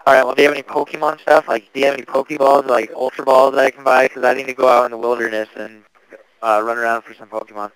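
A man's voice: mid-range voice, little pitch movement, fast talker, a bit bright, smooth, no echo. A young man making a casual prank phone call, asking slangy questions like a mock-earnest customer. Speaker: prank caller.

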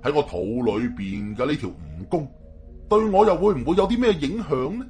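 A man's voice: on the high side, big pitch swings, a little fast, a little mellow, faint music behind. A man with a Hong Kong Cantonese accent telling a story in a colloquial, suspenseful, dramatic narration.

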